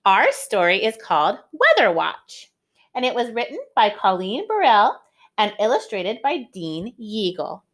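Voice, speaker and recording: A woman's voice: medium pitch, sing-song, measured pace, fairly bright tone. A woman reading a story aloud to young children like a teacher, expressively, with questions put to her listeners.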